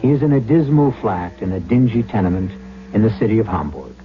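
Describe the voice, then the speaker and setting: A man hosting and narrating a radio drama in a measured, ominous, scripted style. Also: fairly low pitch, lively intonation, a little hiss or hum. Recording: dull old radio recording.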